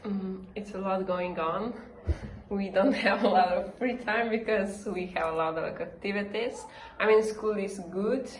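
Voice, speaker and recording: A woman in her mid-twenties answers interview questions in a lively, frank way. The recording is roomy and echoey, with clearly audible background noise. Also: mid-range voice, a little slow. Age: 20s. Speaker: master's student interviewee.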